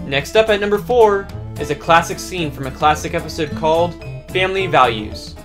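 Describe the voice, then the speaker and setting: A man's voice: fairly high pitch, lively intonation, normal speed, over music. A young adult man hosting a countdown review video in scripted narration.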